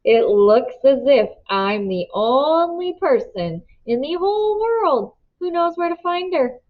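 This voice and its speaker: A woman voicing a smooth, scheming older man who talks in polite, ingratiating tones with quiet menace. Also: sits high, sing-song, slow pace, pitched above the performer's own voice, heavily acted. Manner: silky, ingratiating, then hard bargaining. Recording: dull lo-fi recording.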